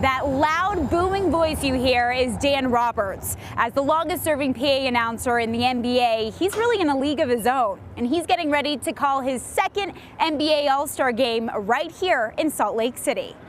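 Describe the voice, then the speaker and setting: A woman's voice: high voice, expressive, normal speed, few pauses, a little hiss or hum. A woman TV news reporter delivering a scripted report to viewers.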